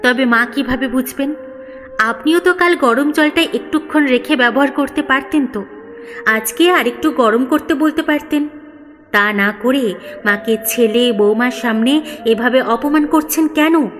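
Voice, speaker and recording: Woman performing bold young wife, indignant, pointed questions, very high voice, story drama, music behind. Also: a little slow, a bit bright. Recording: long reverb, background music.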